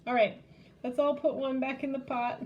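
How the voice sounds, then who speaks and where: A woman's voice: high voice, flat delivery, normal speed, dull audio, a little hiss or hum. A woman hosting a children's library storytime, casually showing a craft and game step by step in simple words.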